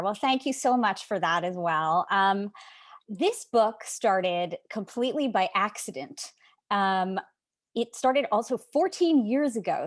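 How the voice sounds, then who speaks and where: A woman author from Canada, a guest on a video call, explaining how her book began in an earnest, animated way. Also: medium pitch, no room sound, studio quality. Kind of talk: interview answer.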